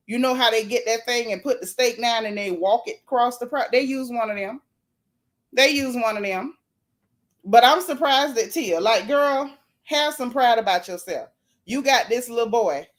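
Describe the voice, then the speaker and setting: A woman hosting a casual live gossip stream, reading viewer comments aloud and reacting in a blunt, sassy, animated way. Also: on the high side. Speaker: livestream gossip host.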